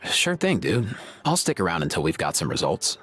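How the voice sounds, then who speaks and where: A man's voice: deep voice, huge pitch swings, normal speed, fairly bright tone, heavily acted. A man voicing a laid-back young veterinarian in a video game, with an easygoing, slangy, friendly manner. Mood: breezy, friendly. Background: music.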